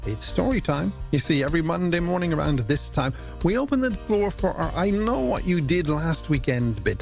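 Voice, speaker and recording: A man's voice: fairly high pitch, vocal fry, a little mellow. A man presenting a late-night music radio show in a chatty, scripted style, with playful, wordy patter aimed at the listener.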